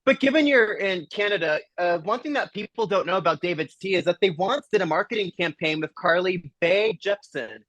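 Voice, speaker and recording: A man's voice: high voice, animated, a little fast, few pauses, fairly bright tone, dry recording, poor audio. A man hosting a casual talk show, asking rambling, mock-serious questions in a playful way.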